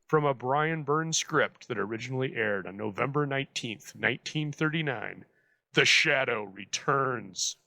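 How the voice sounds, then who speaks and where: A man's voice: mid-range voice, expressive, normal speed, creaky, pushed and bright, no echo, rough recording. A man narrating a scripted, dramatic introduction as host, close on the mic. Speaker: host introducing old radio episode.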